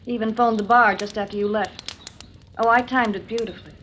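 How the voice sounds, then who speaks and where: An actress playing a scheming, unfaithful wife who feigns devotion, with urgent, controlled, theatrical delivery in an old-time radio suspense drama. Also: female voice, on the high side, steady tone, fairly quick, a bit bright, lightly acted. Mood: cool, conspiratorial. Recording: noisy vintage radio broadcast recording.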